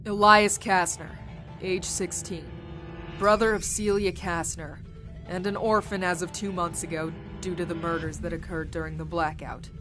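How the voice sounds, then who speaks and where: A woman's voice: on the low side, steady tone, normal speed, a bit bright, close to an everyday voice. A woman playing a confident, dutiful military science officer, speaking formally and precisely in a sci-fi drama. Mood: matter-of-fact. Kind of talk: sci-fi anime parody audio drama acting. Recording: music under the voice.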